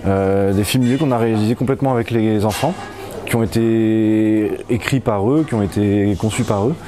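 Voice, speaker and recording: A man's voice: fairly low pitch, moderate pace, dark tone. A man who works as a digital activities facilitator, explaining his job in an interview.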